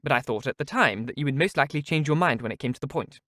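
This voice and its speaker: A man's voice: mid-range voice, expressive, rapid-fire, sharp tone, dry recording, studio quality. A man reading aloud voices a sly young woman who gushes with false sweetness. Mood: gushing. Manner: gushing, insinuating.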